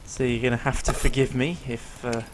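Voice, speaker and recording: A man's voice: medium pitch, flat delivery, brisk, background noise. A man with a strained, failing voice, giving easygoing let's-play commentary and explaining the game as he plays, with plenty of ums and uhs. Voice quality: rough, tired-sounding voice. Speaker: gameplay commentator.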